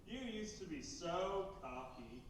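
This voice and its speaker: A man's voice: high-pitched, animated, slow, background noise. A man playing a cruel, gloating old banker in a live radio play, cold and menacing, heard from far off on an echoey stage. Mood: sneering. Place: theater stage.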